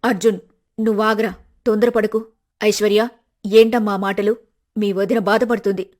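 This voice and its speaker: A woman voicing an elderly mother-in-law in a cartoon story, soft and pleading in a motherly way. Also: mid-range voice, level tone, moderate pace, pitched below the performer's own voice, natural voice. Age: older.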